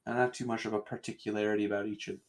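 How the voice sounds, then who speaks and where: A man chatting casually as a podcast panelist, speaking in a hesitant, halting way and repeating words. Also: on the low side, little pitch movement. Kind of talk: casual chat.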